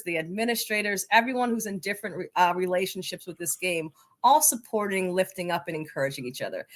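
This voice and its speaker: A woman's voice: on the low side, fairly bright tone. A woman speaking as an interview guest, answering conversationally in an enthusiastic, encouraging manner. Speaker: interview guest, coaches' association leader.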